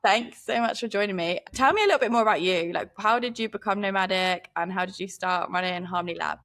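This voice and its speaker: A woman's voice: medium pitch, fast talker, a bit bright. A young British woman hosting a podcast interview, chatty and enthusiastic, saying "like" often. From United Kingdom. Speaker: podcast host.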